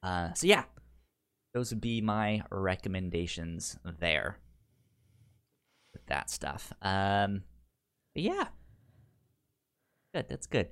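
A man's voice: low-pitched, sing-song, slow, pauses often, a bit bright, clear, resonant tone, no room sound, studio quality. A man chatting casually as a podcast co-host, often stammering and repeating words and syllables. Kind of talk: casual movie podcast chat.

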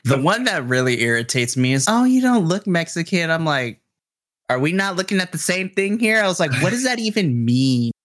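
Young man, podcast co-host, fairly high voice, expressive, acts out quotes. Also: moderate pace. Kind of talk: casual chat.

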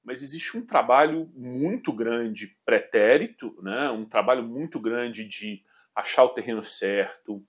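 A man in his forties, a finance executive from southern Brazil, answering interview questions in a measured way with frequent hesitations. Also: medium pitch, animated. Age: 40s. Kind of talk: business interview.

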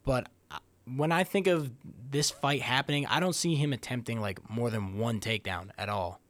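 Male podcast co-host, mid pitch, dry mic, hesitant thinking-aloud analysis. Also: moderate pace, fairly bright tone, no room sound. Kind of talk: casual sports podcast breakdown.

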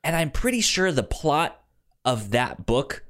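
A podcast co-host chatting casually about pop culture, often repeating syllables and stumbling over words, with animated emphasis. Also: mid-range voice. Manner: halting speech with repeated syllables and stammered words.

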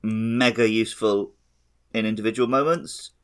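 Male co-host, slightly low voice, casual conversational explainer for a gaming podcast. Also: steady tone, normal speed. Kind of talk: casual video game discussion.

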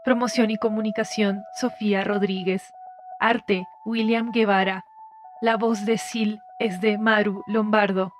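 A woman announcer with a flat, even delivery over clear music. She reads scripted podcast narration in a measured way, at a medium pitch.

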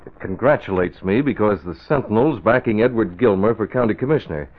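On a tinny vintage radio broadcast, a young newspaper boss played by a man talks in a fairly low voice. He stays composed and crisp, lightly dismissive of trouble. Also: brisk, lightly acted. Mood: measured, puzzled. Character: composed, authoritative young male newspaper publisher. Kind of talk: old-time radio drama acting. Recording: tinny band-limited old radio broadcast.

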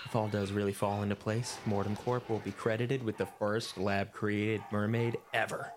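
A man acts out a laid-back veteran lab scientist in an audio drama. His voice is on the low side, casual and slangy, with a patronizing tease, over faint noise. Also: level tone, moderate pace, close to an everyday voice. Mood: teasing, relaxed. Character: cocky, teasing, laid-back seasoned male scientist.

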